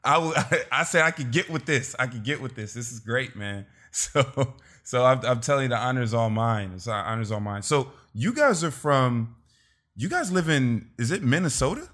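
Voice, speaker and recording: A man's voice: medium pitch, expressive, normal speed, fairly bright tone. A man hosting a podcast over a remote call, laid-back and chatty, laughing often and saying "man" a lot. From Los Angeles. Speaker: podcast host. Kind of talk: casual video-call interview.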